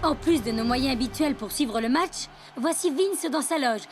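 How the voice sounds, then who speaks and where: A woman's voice: high voice, lively intonation, normal speed, music in the background. An actress playing a poised female football commentator in a French cartoon dub. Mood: poised, dramatic.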